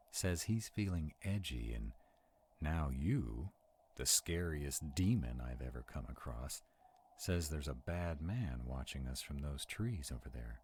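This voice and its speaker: A man's voice: a bass voice, a little mellow, breathy edge, full character voice. A man playing a sarcastic, protective vampire in a conversational audio roleplay. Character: sarcastic male vampire, protective of a young demon companion.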